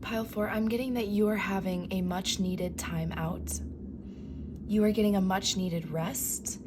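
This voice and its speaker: A woman giving a gentle, affirming tarot reading, her voice worn and fading so that she often drops into a whisper. Her pitch sits in an ordinary middle range. A clear hiss of noise runs under the recording. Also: fairly even intonation, measured pace. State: losing her voice, coughing. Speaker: tarot reader. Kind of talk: pick-a-card tarot reading.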